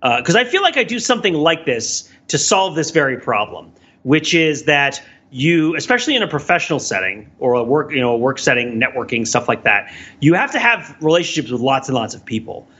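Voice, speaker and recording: A man's voice: on the high side, sing-song, brisk, bright, forceful tone, slightly rough, muffled recording. A man co-hosting a podcast in casual, analytical chat, thinking aloud in a discursive way. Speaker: podcast co-host.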